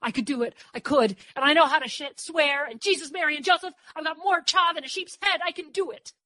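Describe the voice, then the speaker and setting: A woman's voice: mid-range voice, expressive, moderate pace, husky, dry recording. A woman voicing an eager, stubborn tomboy girl, earnest and defiant, in an audiobook. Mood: eager, insistent. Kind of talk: audiobook first-person character dialogue.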